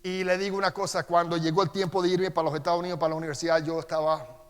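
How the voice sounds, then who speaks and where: A middle-aged man with a Costa Rican accent preaching a sermon as pastor. He reads Scripture slowly and with emphasis, then turns quieter as he tells personal stories. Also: a little high, level tone, clear, resonant tone. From Costa Rica. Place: church sanctuary. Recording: long church-hall reverb.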